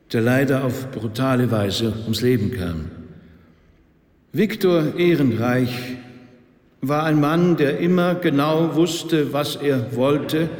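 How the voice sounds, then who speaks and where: An actor playing a grave, tender rabbi delivering a measured funeral eulogy in a radio play. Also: male voice, on the high side, darkish tone. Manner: measured, deliberate, solemn. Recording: echoey hall with long reverb.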